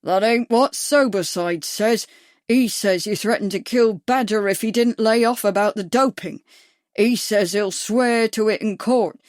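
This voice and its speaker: A woman's voice: medium pitch, measured pace, no echo, clean studio sound, natural voice. A woman voicing a burly, menacing man with a thick accent who snarls and growls threats.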